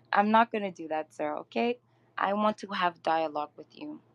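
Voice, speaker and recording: A woman's voice: medium pitch, normal speed. A woman of Somali origin calling in to a show, insistent but polite, repeatedly addressing the host as "sir.". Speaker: caller.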